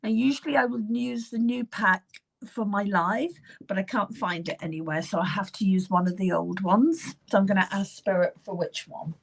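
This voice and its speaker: A middle-aged woman hosting a live psychic reading session, chatting gently with viewers by name, her voice still a little croaky and at times falling to a whisper. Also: mid-range voice, moderate pace, low-quality recording. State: slightly croaky voice. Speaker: psychic medium.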